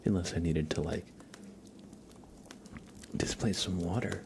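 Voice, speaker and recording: A man hosting an ASMR livestream in a hushed, unhurried, rambling way, speaking softly and casually close to the microphone. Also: deep voice, creaky, a little hiss or hum. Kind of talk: live ASMR, soft whispering and quiet casual chat.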